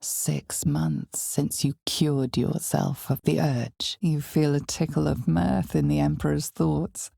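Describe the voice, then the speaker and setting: A dark fantasy game narrator speaks low and very slowly, ominous and knowing with a wry edge. Her voice is husky and gravelly, often close to a whisper, and every phrase is placed deliberately. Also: steady tone, no room sound, heavily acted. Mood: ominous, measured. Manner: slow, deliberate, dramatic storytelling.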